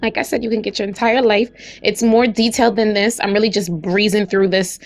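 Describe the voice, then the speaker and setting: A young woman with a raspy voice, chatting casually and slangily to her viewers as she walks them through a hair tutorial. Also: medium pitch, steady tone, a little fast.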